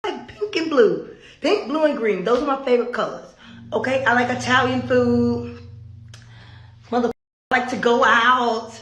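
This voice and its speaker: Female vlogger, hoarse from bronchitis. Sassy and chatty, laughs often, recorded in a roomy space. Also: on the high side, normal speed, pauses often, a bit bright. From Atlanta. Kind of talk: story time vlog.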